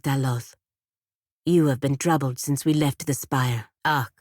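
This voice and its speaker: An actress playing a proud, duty-bound godlike knight in a video game, speaking formally and gravely. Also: female voice, a bass voice, no echo, studio quality.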